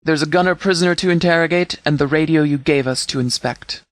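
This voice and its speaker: A man voicing a stern, commanding sheriff who leads a wasteland militia in a video game, speaking in a formal, measured, authoritative way. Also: a little high, dry recording, natural voice. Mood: businesslike. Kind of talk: scripted video game dialogue.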